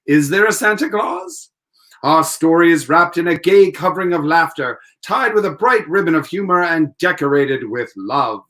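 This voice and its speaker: A man's voice: on the high side, lively intonation, normal speed, a bit bright. A man hosting as a radio announcer, reading a formal scripted introduction in showman-like 1940s style.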